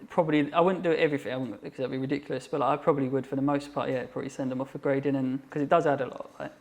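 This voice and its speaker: A man in his thirties talks quickly, on a narrow, level pitch. He rambles in a relaxed guest chat and leans heavily on fillers, pressing ahead fast with one thought after another. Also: gravelly. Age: 30s. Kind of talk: casual chat.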